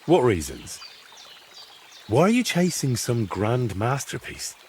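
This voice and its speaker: A man's voice: mid-range voice, huge pitch swings, takes it slowly, a little mellow, slight background noise. An actor playing a curious, plain-spoken young man in a medieval video game, frank and good-natured. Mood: encouraging. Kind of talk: dramatic dialogue in a cinematic historical game.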